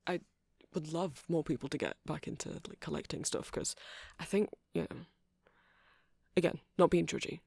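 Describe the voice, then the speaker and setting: Very creaky, husky man in ASMR roleplay. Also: on the high side, moderate pace, no room sound. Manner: hesitant, apologetic, soft-spoken. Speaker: character performer playing a shopkeeper. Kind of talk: ASMR roleplay, rambling improvised chat.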